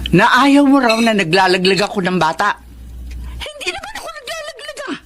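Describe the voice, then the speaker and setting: A woman playing a harsh, sharp-tongued village mother and folk healer who scolds her grown daughter in a radio drama. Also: fairly high pitch, huge pitch swings, fairly quick, a little hiss or hum. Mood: angry scolding.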